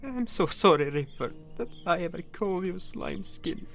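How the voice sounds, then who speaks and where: A gentle, humble creature of fantasy audio drama, acted out by a man. The voice is earnest and a little hesitant, with prominent music playing underneath. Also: high-pitched, expressive, normal speed, pitched above the performer's own voice. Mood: apologetic. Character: Moore, gentle humble male warm fuzzy creature.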